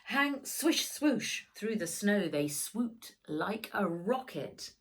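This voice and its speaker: Woman reading a storybook, slow and animated. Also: mid-range voice. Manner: dramatic, with sound words acted out. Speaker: author reading own book. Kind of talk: expressive children's storybook narration.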